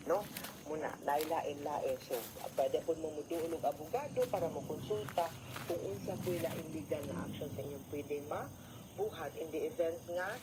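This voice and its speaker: A woman's voice: low-pitched, noisy background. A woman with a Cebuano Filipino accent gives conversational legal advice as a radio host, explaining step by step and often adding tag questions.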